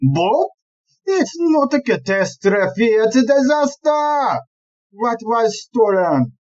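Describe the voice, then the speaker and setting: A woman acting in an audio play as a smooth-talking, dismissive male police chief inspector who is a philanderer. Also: on the high side, sing-song, slow, a little mellow, highs cut off. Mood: startled.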